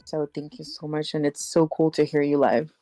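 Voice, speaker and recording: A woman's voice: deep voice, level tone, normal speed, warm tone, no echo. A woman streamer interpreting for a guest, chatty and a bit nervous, with many fillers and restarts. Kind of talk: casual live stream chat and interpreting.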